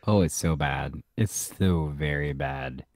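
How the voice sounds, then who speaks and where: A man's voice: slow pace, a little mellow, no room sound, clean studio sound. A man co-hosting a podcast, chatting playfully and laughing often while recapping a TV episode. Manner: playful, laughs often, jumps up in pitch for emphasis. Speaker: podcast co-host. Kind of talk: casual chat recapping a TV episode.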